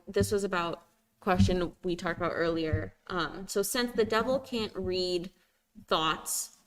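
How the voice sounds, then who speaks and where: A woman's voice: lowish voice, fairly bright tone, low-quality recording. A woman co-hosting a live question-and-answer chat, reading out audience questions in an informal, somewhat hesitant way.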